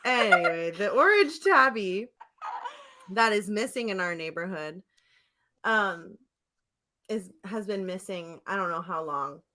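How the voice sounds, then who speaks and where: A woman's voice: medium pitch, animated, measured pace, pauses often, sharp tone. A woman hosting a podcast in casual comedic chat, with a playful, mock-dramatic and giggly manner. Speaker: podcast host.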